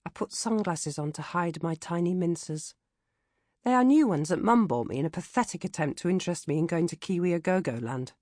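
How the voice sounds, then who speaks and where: A British woman reading comic first-person audiobook narration in a droll, expressive manner. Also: lowish voice, dry recording, studio quality. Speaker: author reading own audiobook.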